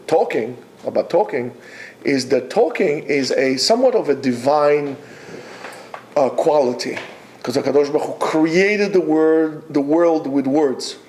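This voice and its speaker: A man giving a Torah lecture to yeshiva students, emphatic and exhorting, with many pauses. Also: fairly high pitch, a little mellow, a little hiss or hum. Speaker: yeshiva teacher.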